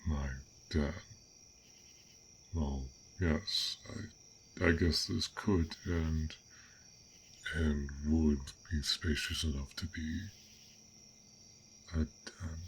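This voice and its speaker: Man acting a very deep, gravelly, gentle dragon. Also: steady tone, slight background noise, heavily acted, rough recording. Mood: flustered, hesitant. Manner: hesitant, halting, formal old-fashioned wording. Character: fearsome but gentle, bashful, curious male dragon. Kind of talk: roleplay audio acting, intimate one-sided dialogue.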